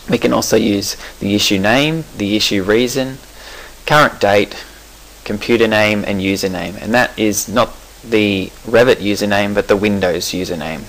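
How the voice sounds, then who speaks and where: Low-voiced man narrating a software tutorial. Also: creaky, rough recording. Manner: relaxed explanatory, with fillers and self-corrections. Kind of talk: screencast software walkthrough.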